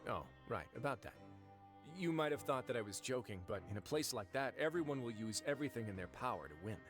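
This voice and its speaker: An actor playing a composed male prison warden in a game, speaking in a calm, measured, lightly wry way. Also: medium pitch, over music, natural voice.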